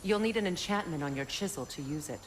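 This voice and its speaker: An actress playing a proud, guarded middle-aged goddess in a video game, speaking in a measured, formal way. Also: female voice, low-pitched, expressive, noisy background. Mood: instructing. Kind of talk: video game character acting.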